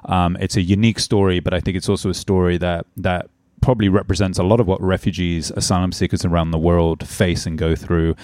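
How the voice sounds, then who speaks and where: A middle-aged man hosting a podcast, speaking earnestly and filling pauses with "um" and "uh". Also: low-pitched, darkish tone, smooth, no room sound, studio quality. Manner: earnest, heavy with "um" and "uh". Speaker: podcast host. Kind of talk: podcast intro and interview.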